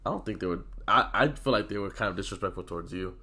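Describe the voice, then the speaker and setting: A man's voice: low-pitched, quick pace, sharp tone. A young man co-hosting a podcast in casual chat, sick and coughing, talking in a loose, profane, rambling way. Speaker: podcast co-host.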